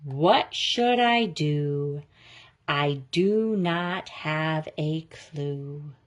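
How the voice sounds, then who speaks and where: Very slow-paced woman reading a children's story. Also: low voice. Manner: slow, deliberate, expressive delivery of rhyming lines. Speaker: story reader. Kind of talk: children's read-aloud storytelling.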